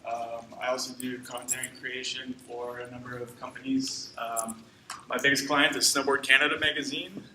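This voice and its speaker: A man's voice: mid-range voice, flat delivery. Man, conference emcee, casual with lots of ums, far from mic, very echoey, noisy. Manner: casual, halting with fillers. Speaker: event emcee. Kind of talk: introducing a speaker. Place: conference room.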